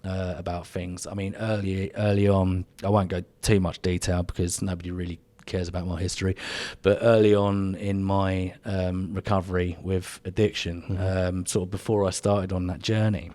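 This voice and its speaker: A man with an English accent co-hosting a podcast indoors by a fire, talking in a relaxed, soft-spoken, rambling way. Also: sits low, normal speed, few pauses. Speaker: podcast co-host. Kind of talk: casual chat.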